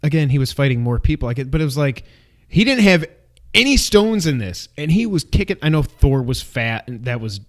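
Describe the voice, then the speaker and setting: A man co-hosting an unscripted movie review, chatting casually and retelling his reactions in an animated, exclamatory way. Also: on the high side, brisk. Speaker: co-host of a movie review show.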